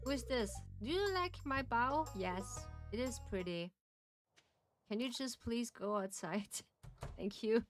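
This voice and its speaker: Woman streamer with a German accent, unhurried soft-spoken chat over faint music. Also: a little high, lively intonation. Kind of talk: casual gameplay chat.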